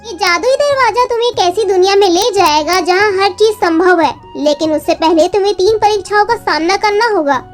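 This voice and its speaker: A magical storybook parrot, acted by a woman, speaks in an extremely high, small voice. He is a solemn, mysterious guide who instructs and warns. Soft music plays behind him. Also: fairly quick, few pauses. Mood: solemn warning. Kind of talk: children's storybook character voice.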